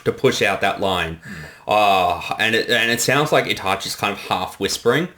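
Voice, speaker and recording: Man, lower-pitched voice, casual commentary, informal, self-deprecating, sweary. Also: moderate pace, bright, forceful tone. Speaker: director of a fan parody giving commentary. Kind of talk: casual commentary over an animated episode.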